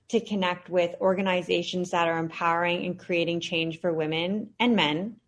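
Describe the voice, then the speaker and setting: A woman's voice: lowish voice, fairly bright tone. A woman in her thirties, a podcast guest and founder, answering interview questions in an earnest, reflective way with frequent fillers. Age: 30s.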